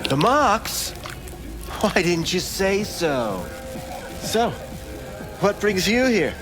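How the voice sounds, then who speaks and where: A slimy video game back-alley doctor with a highish voice that swoops around. He drawls slowly and fawningly, slipping away from any straight answer, over clearly audible music. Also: very animated, exaggerated voice. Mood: wary, smarmy. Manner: oily, drawn-out, stammering when pressed. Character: sleazy, unctuous male back-alley doctor, evasive and fawning. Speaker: back-alley ripperdoc character. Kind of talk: video game character acting.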